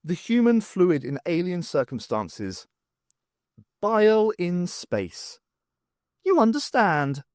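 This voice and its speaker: A man's voice: sits high, very animated, takes it slowly, long pauses, a little mellow, no room sound, studio quality, voice pushed up, heavily acted. A man voicing a pompous, furtive and eccentric male art professor with florid speech in an audiobook. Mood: pompous, conspiratorial.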